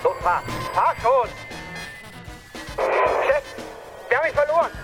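An eager, tense male cop in a radio crime drama. His high, very lively voice fires clipped reports over loud music. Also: takes it slowly, gravelly, full character voice. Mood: panicked, frustrated. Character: tense, eager policeman reporting from a pursuit car.

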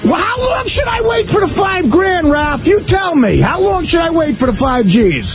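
A man argues heatedly in a very high voice that swings wildly up and down. He is profane and exasperated, heard through a thin phone line with music behind him. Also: sing-song, normal speed, raised voice. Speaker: prank-call soundboard voice. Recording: tinny band-limited phone audio, music behind.

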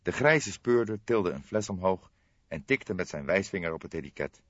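A man's voice: low-pitched, expressive, normal speed. A man narrating a crime novel as an audiobook, reading scripted prose in a dramatic storytelling style. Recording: dull lo-fi audiobook, roomy echo.

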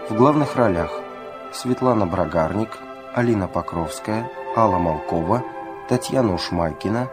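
Male announcer for radio play end credits, fairly low voice, scripted read over a music bed. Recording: music playing behind the voice.

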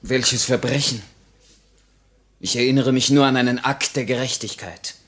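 An actor playing a proud, idealistic young revolutionary prisoner in a 1950 radio play, terse, earnest and defiant. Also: male voice, medium pitch, normal speed, a bit bright, lightly acted. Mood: righteous.

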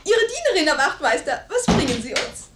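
A woman's voice: sits high, very animated, brisk, roomy sound, heavy background noise. An actress playing a pert, outspoken young lady's maid in a classical radio play, with saucy, emphatic delivery. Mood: pert, teasing.

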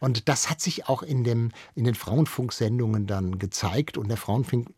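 Clear-voiced older man in a dry studio. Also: medium pitch, normal speed, darkish tone, no room sound. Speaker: studio guest, radio veteran and archive expert. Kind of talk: conversational reminiscing in a radio interview.

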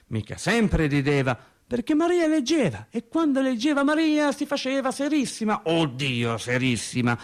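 An actor playing a wry, naive factory painter reminiscing in a radio theatre monologue, colloquial and rambling. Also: male voice, a little high. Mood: tender, amused. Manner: colloquial, animated, rambling storyteller. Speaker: stage actor performing a monologue.

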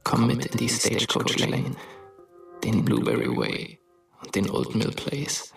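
An actor playing a dead teenage boy who narrates a radio drama in a hushed, insinuating, often whispering way. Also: medium pitch, sing-song, unhurried, vocal fry, full character voice. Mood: luring, hushed. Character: dead teenage boy, sinister ghostly narrator luring the listener. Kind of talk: hushed atmospheric radio play narration in German. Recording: loud music under the voice.

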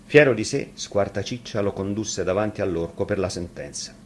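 A man's voice: fairly low pitch, moderate pace, slight background noise. A man reading a fairy tale expressively, as a storyteller.

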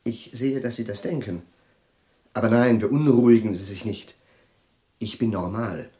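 Actor as jittery, absent-minded professor. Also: male voice, lowish voice, warm tone, natural voice. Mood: nervous, courteous. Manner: halting, groping for words, formally polite. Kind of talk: German radio play acting.

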